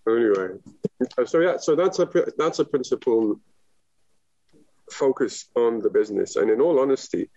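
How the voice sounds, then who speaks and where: A man's voice: mid-range voice, animated, moderate pace, gravelly, dark tone, no room sound, muffled recording. A man from Lebanon, a guest talking with enthusiasm in a casual podcast chat, rambling with many fillers. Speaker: guest, business founder.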